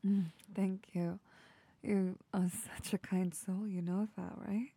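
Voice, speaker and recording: A young woman whispering softly and soothingly into a close microphone in an intimate ASMR roleplay. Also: mid-range voice, level tone, takes it slowly, creaky, slightly rough, no room sound. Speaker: audio roleplay performer.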